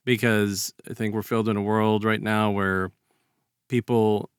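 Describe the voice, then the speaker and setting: A man in a casual, reflective podcast discussion, speaking haltingly and repeating words as he builds a point. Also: fairly low pitch, flat delivery, clean tone, no room sound, studio quality.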